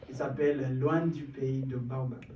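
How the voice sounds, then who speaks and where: A man's voice: mid-range voice, fairly even intonation, unhurried, dull audio, noisy background. A young man from Kenya, an English speaker, performing spoken-word poetry at an open mic with a rhythmic, building delivery and self-deprecating asides. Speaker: performance poet. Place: small stage in a cellar venue. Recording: roomy, distant live room sound.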